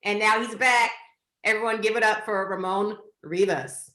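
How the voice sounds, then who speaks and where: A woman's voice: medium pitch, steady tone, moderate pace, bright, forceful tone, roomy sound. A woman hosting a podcast over a video call, blunt and laughing often with a lot of swearing. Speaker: podcast host.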